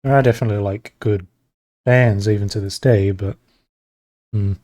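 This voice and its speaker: Casting note: laid-back male streamer, lowish voice, dry close sound, easy rambling chat. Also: no echo. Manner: relaxed, rambling, talks to chat between pauses. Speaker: streamer drawing live. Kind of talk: casual chat while drawing.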